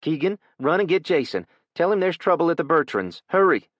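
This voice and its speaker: A man voicing a protective young farm mother, his voice pitched well up and pushed louder. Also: big pitch swings, a little fast, dry recording. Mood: urgent, firm.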